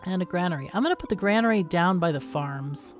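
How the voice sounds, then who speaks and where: Woman, slightly low voice, casual gaming host, explanatory, thinking aloud, music behind. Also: expressive, fast talker. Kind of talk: casual let's-play commentary.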